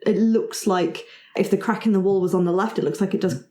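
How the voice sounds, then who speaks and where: A woman's voice: mid-range voice, steady tone, a little fast, mellow. A woman narrating and refereeing a tabletop game as game master. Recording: roomy, echoey recording.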